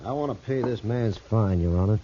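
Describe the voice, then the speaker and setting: An actor playing a smug, taunting hired killer with a sneering menace in a 1950s radio western. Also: male voice, lowish voice, fairly quick, lightly acted. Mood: businesslike. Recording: muffled, noisy old radio recording.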